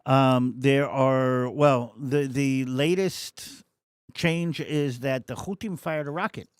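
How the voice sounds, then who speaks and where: A man's voice: mid-range voice, fairly even intonation, slow, smooth, dry recording, studio quality. A male co-host on a live show, explaining news with many restarts and hesitations. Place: studio.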